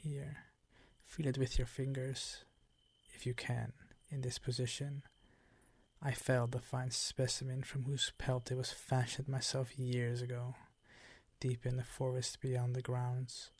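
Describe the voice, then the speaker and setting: Older vampire count played by a male actor for ASMR roleplay: suave, possessive, unhurried, condescending, with breathy menace and a close, dry sound. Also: medium pitch, monotone, no echo. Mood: condescending, insistent. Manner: slow, ornate, condescending seductive purr.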